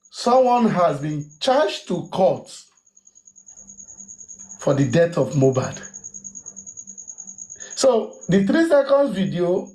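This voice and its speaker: Slow, high-voiced man. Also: a little mellow, rough recording. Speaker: participant in online discussion. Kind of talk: casual group discussion.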